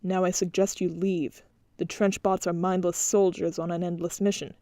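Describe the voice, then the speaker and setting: A woman's voice: lowish voice, little pitch movement, no echo, clean studio sound, close to an everyday voice. Woman playing a cold, scornful crime boss. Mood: menacing. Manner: slow, measured, quietly threatening.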